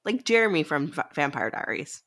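A woman's voice: low-pitched, sing-song, brisk, a bit bright. A woman co-hosting a book podcast, chatting casually with a wry, joking manner. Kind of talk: casual chat.

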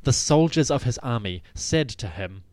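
A man giving a scripted, dramatic narration of ancient history in a measured, solemn storytelling manner. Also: mid-range voice, lively intonation, a little mellow, no room sound. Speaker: podcast host and narrator.

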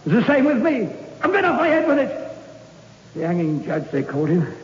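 A self-important, unstable hangman in an old radio play. His high voice swoops widely, and it rings in an echoey hall on a dull recording. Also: very animated, brisk. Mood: anguished, unhinged. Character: pompous, evasive male government hangman, unhinged underneath. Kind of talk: 1960s radio drama acting.

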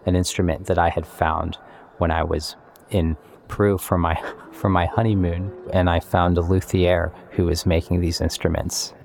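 Male composer, interview style. Deep voice, thoughtful and explanatory, clear musical bed underneath. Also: little pitch movement, moderate pace, a little mellow, faint music behind. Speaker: composer and musician interviewed about his work. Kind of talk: reflective interview talk.

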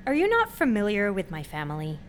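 A woman's voice: mid-range voice, wildly swooping pitch, fairly quick, slight background noise. An actress playing a sheltered, anxious young female college student who speaks candidly in a naturalistic audio drama. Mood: uneasy, wry. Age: young adult.

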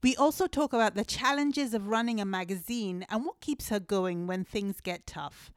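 A woman's voice: mid-range voice, normal speed, smooth, dry recording, clean studio sound. A woman hosting a podcast interview, reading a prepared introduction and then chatting in a friendly, upbeat way with her guest. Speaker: podcast host.

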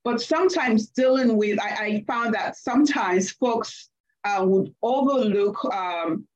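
A woman's voice: fairly high pitch, measured pace, a little mellow, muffled recording. A woman from Nigeria with a Nigerian accent, speaking as a panelist and telling a story from her work, going back over her words as she talks. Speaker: panelist, accounting leader. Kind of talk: panel discussion, personal story.

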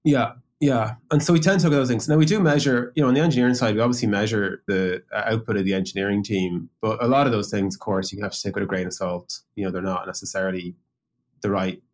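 A man's voice: on the low side, fairly even intonation, quick pace. A man talking as a tech executive and co-host in a conversational business discussion, explaining informally with quoted examples.

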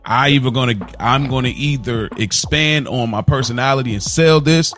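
A man's voice: mid-range voice, normal speed, a bit bright, faint music behind. A man co-hosting a podcast in a casual, conversational way, often checking that he is understood. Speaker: podcast co-host. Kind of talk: casual chat.

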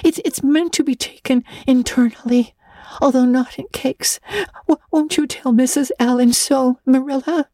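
A woman voicing an excitable, dramatic orphan girl who gushes and stammers in an audiobook. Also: high-pitched, normal speed, no echo, clean studio sound, voice pushed up, close to an everyday voice. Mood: tearful. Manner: breathless, gushing, stammering when upset. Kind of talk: audiobook character voice.

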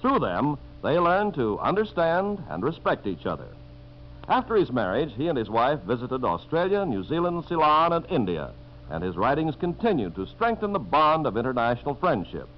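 A man's voice: on the high side, very animated, normal speed. A man with an American accent reading scripted narration as a 1950s radio announcer. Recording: muffled, noisy 1950s radio recording.

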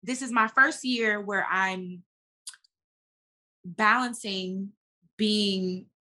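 A woman guest talking casually and informally in an interview, slangy and animated as she tells stories and quotes herself. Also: medium pitch, very slow. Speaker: podcast guest, actress and business owner.

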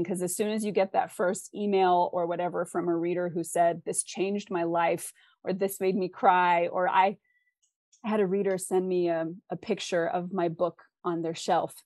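A woman's voice: on the low side, fairly even intonation, moderate pace, a little mellow, no echo, studio quality. A woman speaking as an author guest in a conversational interview, giving candid, encouraging and emphatic advice.

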